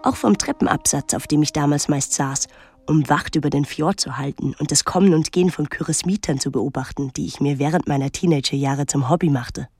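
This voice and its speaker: A young woman tells her own story in a radio play. She is observant and reflective, measured and lyrical, with clear music underneath. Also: deep voice, a little mellow, dry recording. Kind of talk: literary first-person radio drama narration.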